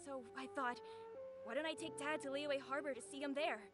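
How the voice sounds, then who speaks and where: A woman's voice: high-pitched, fairly even intonation, a little fast, natural voice. A woman voicing an anxious young boy in a game, talking earnestly and with worry. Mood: worried. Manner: earnest, worried. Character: anxious young boy searching for his dad. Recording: game audio with music behind.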